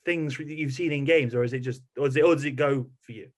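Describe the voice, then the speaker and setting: A man's voice: mid-range voice, fast. A man hosting a podcast, asking chatty, conversational questions and rephrasing them as he goes. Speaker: podcast host, interviewer. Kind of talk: casual conversational interviewing.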